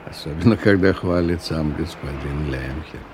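An actor playing a middle-aged businessman in a radio drama, ironic and musing, in an unhurried way. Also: a bass voice, noisy background. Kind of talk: radio drama acting, interior monologue.

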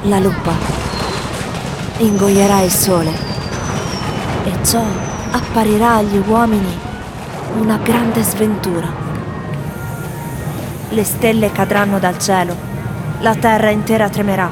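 An intense prophetess played by a woman declaims in solemn, emphatic theatrical tones. Her radio monologue rides over very loud music and city noise, at an everyday female pitch. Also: fairly even intonation, takes it slowly, long pauses, close to an everyday voice. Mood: prophetic. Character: intense prophetic female tourist blessing and cursing Romans. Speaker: stage actor reading tourists' postcards. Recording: loud music and street sounds under voice.

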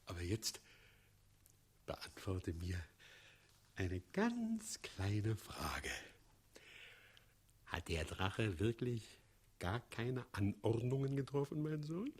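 A man playing a scheming, fawning, half-mad old mayor in a 1960s German radio play, theatrical and erratic, swinging between whispers and shouts. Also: lowish voice, sing-song, slow pace, long pauses, slightly rough, full character voice. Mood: sly, musing.

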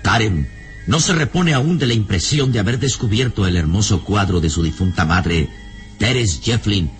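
A man's voice: fairly low pitch, animated, moderate pace, music in the background. A man narrating a radio serial in a dramatic, scripted style.

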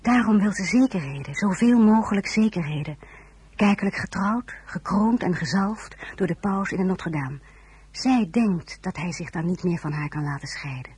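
Pensive young narrator, really breathy n husky, dry close sound. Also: female voice, on the low side, lively intonation, moderate pace, a little mellow, no echo. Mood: pensive, pitying. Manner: intimate, reflective. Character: pensive young woman narrating her own memories. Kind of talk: scripted first-person narration.

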